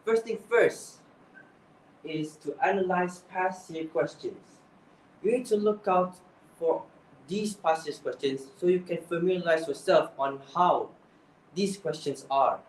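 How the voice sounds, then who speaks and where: Young malaysian guy giving exam tips, a bit high voiced, roomy echo and far off mic. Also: measured pace, a little hiss or hum. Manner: earnest, repeats and restarts phrases. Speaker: English speaking coach. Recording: echoey room, voice from far off.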